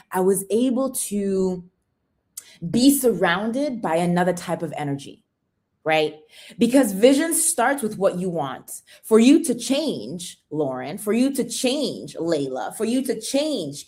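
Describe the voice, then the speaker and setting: A woman's voice: medium pitch, darkish tone. A woman hosting a motivational livestream, chatting casually and greeting viewers by name. She often tags her points with "right?" and English is not her first language. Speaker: livestream host, supplement seller.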